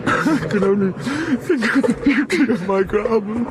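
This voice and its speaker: A man's voice: high-pitched, animated, moderate pace. An actor playing a panicky, lovesick young man in a radio drama, plaintive and dreamy. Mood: dreamy longing. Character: panicky, lovesick youth, nephew of a professor. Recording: very noisy radio broadcast, distant.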